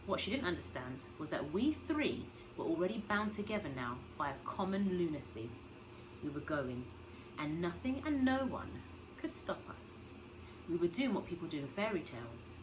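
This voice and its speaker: A woman reads a children's novel aloud in a slightly low voice. Her delivery is dramatic and very lively, swinging widely in pitch like a teacher telling a story to pupils. There is clear noise on the recording. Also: moderate pace. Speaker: schoolteacher reading a story to pupils.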